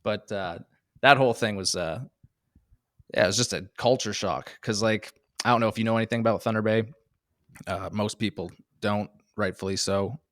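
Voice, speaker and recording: A young Canadian man with a Canadian accent and a lowish voice talks loosely about his life, trailing off into fillers and quick laughs. The recording is close and dry, with no room sound at all. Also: fairly bright tone, dry recording. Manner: laid-back, joking, laughs often, many fillers. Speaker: podcast guest, stand-up comedian. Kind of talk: casual chat.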